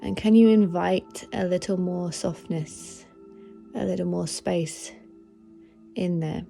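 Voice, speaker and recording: Female meditation host: relaxed, dark-soft timbre, very unhurried, soothing guidance over faint music. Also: lowish voice, vocal fry. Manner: gentle, soothing, unhurried instruction. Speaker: meditation guide, podcast host. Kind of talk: guided meditation.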